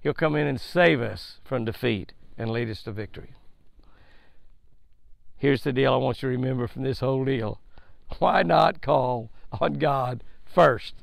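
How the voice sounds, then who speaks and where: A man's voice: medium pitch, measured pace, gravelly, no echo, studio quality. An older man hosts a daily devotional with a fishing tip, preaching in a folksy, emphatic way and repeating his points, recorded outdoors by a lake on a headset mic. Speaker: fishing show host.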